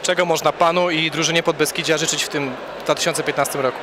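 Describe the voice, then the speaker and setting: A young man asks an interview's questions quickly and in a flat, level tone, his pitch fairly high. He hesitates with drawn-out "e" sounds between phrases. The noisy gala venue comes through clearly behind him. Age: young adult. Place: hotel bar at an evening gala, indoors. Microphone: handheld mic.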